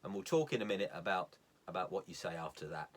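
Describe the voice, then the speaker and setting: A man with a fairly low voice presents an informal practical lesson as a sailing instructor. He is plain-spoken and goes through things step by step, repeating the important points so they stick. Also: lively intonation, fast, fairly bright tone. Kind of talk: practical hands-on demonstration, informal instructional talk.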